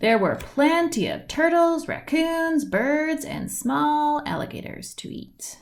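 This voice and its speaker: A woman reading a children's picture book aloud, with deliberate phrasing, stretched words and lilting lists. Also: high-pitched, sing-song, creaky. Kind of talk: read-aloud storybook narration. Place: indoors at home, at a wooden table by a window.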